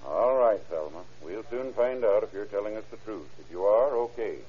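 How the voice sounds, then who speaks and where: An actor playing a stern, slangy, hard-nosed deputy in an old radio crime drama. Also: male voice, lowish voice, expressive, normal speed, slightly rough. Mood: stern, pressing. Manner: blunt, slangy, authoritative. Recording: noisy, muffled old radio recording.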